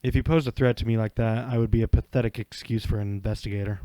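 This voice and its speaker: Commanding male investigator for anime audio drama, acted by a man. Dark, close dry sound, calm authority. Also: lowish voice, fast talker, no echo, natural voice.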